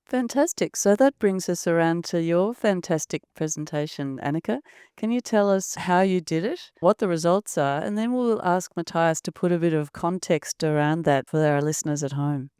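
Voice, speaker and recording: A woman's voice: lowish voice, moderate pace, darkish tone, clean tone, dry recording, clean studio sound. A woman hosting a medical podcast, introducing guests in an upbeat, enthusiastic way. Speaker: podcast host.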